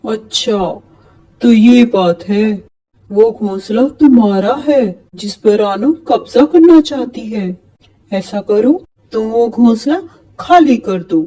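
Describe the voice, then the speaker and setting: A woman voicing a male owl king who judges the birds in a moral-story cartoon, measured and commanding. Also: fairly high pitch, mellow, low-quality recording.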